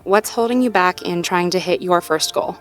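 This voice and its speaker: Low-pitched, encouraging girlfriend, acted by a woman. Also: normal speed, soft background music, lightly acted. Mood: thoughtful, supportive. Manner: encouraging, gently upbeat, thinking aloud. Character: sweet, supportive girlfriend working through goals with partner. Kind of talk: intimate conversational audio roleplay.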